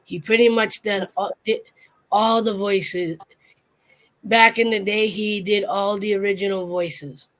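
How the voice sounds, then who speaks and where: A woman's voice: medium pitch, steady tone, a little slow, poor audio. A woman co-hosting a casual chat, telling a story in a rambling way that trails off and restarts. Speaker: podcast co-host.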